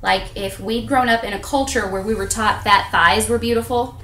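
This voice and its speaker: A woman performing a theatrical monologue as a self-conscious, candid woman confessing intimate things, with dramatic pauses and drawn-out emphasis. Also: steady tone, pushed and bright, close to an everyday voice. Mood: wry, embarrassed. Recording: echoey room on low-quality webcam.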